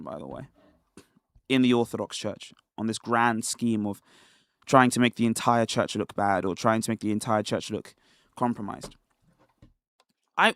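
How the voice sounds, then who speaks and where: A man from Nigeria hosting a casual commentary livestream, talking in an animated, rhetorical way with laughs between points. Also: mid-range voice, no echo, studio quality. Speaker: livestream host.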